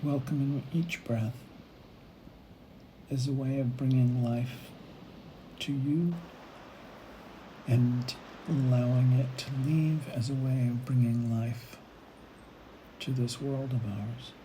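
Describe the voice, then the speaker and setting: A woman leading a guided meditation, speaking in unhurried phrases with long pauses between them. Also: very deep voice, fairly even intonation, mellow, a little hiss or hum, rough recording. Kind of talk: guided meditation, gentle imagery narration.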